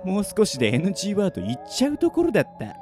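Creepy, gleeful sadistic killer. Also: male voice, sits high, huge pitch swings, very fast, music in the background. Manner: taunting, sing-song drawl with giggles. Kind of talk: anime-like audio drama acting.

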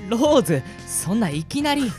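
An eager, naive boy adventurer who stammers and boasts, voiced by a woman. Her pitch sits a little high and bounces widely. Light music plays faintly underneath. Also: big pitch swings, moderate pace. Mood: flustered. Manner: flustered, stammering, bright. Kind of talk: radio drama acting.

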